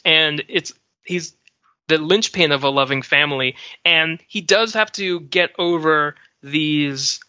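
A man's voice: a little high, sharp tone, dry recording. A man co-hosting a podcast, discussing film casually in thoughtful short phrases, on a slightly lo-fi microphone. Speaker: podcast co-host.

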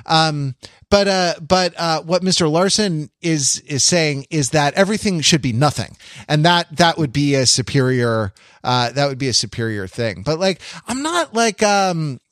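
Halting man thinking aloud, higher-pitched voice. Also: animated, fairly bright tone, no echo, clean studio sound. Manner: halting, thinking aloud, hedging with "like" and "you know". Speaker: podcast co-host. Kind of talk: casual conversational podcast discussion.

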